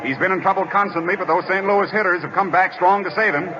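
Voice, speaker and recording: A man's voice: high-pitched, quick pace, close to an everyday voice. A man playing a radio baseball announcer in an old radio drama, calling the game in dramatic, punchy phrases. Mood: tense narration. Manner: dramatic, punchy short calls. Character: excited male radio baseball play-by-play announcer. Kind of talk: 1940s-50s radio drama sports commentary. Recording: muffled old radio recording, roaring crowd, noise. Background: loud stadium crowd.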